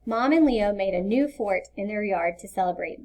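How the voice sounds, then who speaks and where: A woman's voice: mid-range voice, expressive, moderate pace, a little mellow. A woman narrating a children's storybook as a scripted read-aloud. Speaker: storybook reader.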